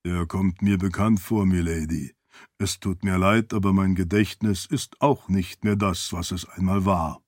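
A man voicing a calm, dry, formal spirit servant in an audiobook, soft-spoken and deferential. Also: sits low, unhurried, no echo, voice pushed down. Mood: apologetic.

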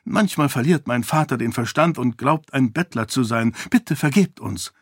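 A man's voice: medium pitch, lively intonation, moderate pace, slightly rough, no echo, clean studio sound. A man voicing a frightened young male beggar with an anxious, hissing manner. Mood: nervous.

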